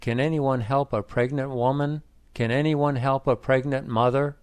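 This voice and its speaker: A man voicing a pleading woman panhandler around forty, described as raspy. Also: mid-range voice, fairly even intonation, normal speed, no room sound, pitched above the performer's own voice, lightly acted.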